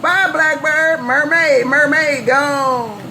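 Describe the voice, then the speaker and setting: A woman's voice: sits high, takes it slowly. An American woman chatting on CB radio in a playful, boastful way, chanting repeated phrases. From California, US. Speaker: CB radio operator. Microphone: CB radio microphone. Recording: noisy CB radio transmission.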